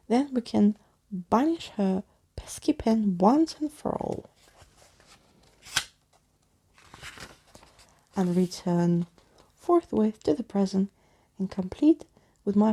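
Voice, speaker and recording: Woman voicing a pompous, gravelly old storybook skeleton man. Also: mid-range voice, big pitch swings, slow, warm tone, full character voice. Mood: confident. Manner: florid, grandiose wording with muddled words. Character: pompous, wordy old male living skeleton, absent-minded and unflappable.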